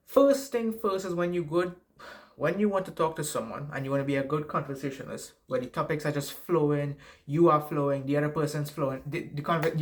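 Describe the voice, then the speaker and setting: Rambling young Trinidadian man giving advice. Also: fairly high pitch. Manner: rambling, profane, frequent "right?" tags. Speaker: self-help vlogger. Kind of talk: casual advice monologue to camera.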